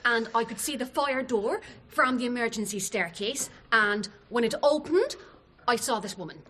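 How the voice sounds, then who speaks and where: A woman's voice: fairly high pitch, moderate pace, a bit bright, a little hiss or hum, lightly acted. A woman playing a chatty, deferential hotel chambermaid giving earnest, insistent answers in a radio drama. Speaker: actor playing a chambermaid witness.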